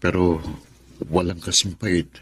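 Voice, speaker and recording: An actor playing Crispin, a wistful Filipino man pining for a taken woman, sentimental and given to florid sayings in radio drama style. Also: deep voice, flat delivery, unhurried, noisy background. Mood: wistful, philosophical. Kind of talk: Tagalog radio drama acting. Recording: background music under the voice.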